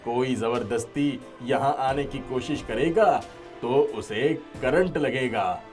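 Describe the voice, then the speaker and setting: A man acts out an old village father for a cartoon moral tale. He is kindly, firm and earnest, speaking slowly at a middle pitch with music behind him. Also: natural voice. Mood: assured. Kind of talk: Hindi moral-story cartoon acting.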